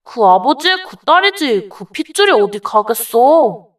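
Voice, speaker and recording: A woman's voice: a little high, big pitch swings, unhurried, distant mic. A woman voicing a young male bonded servant in a folktale, wary and timid but given to grumbling. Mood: suspicious musing. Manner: deferential polite speech, wary. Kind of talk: dramatized folktale dialogue.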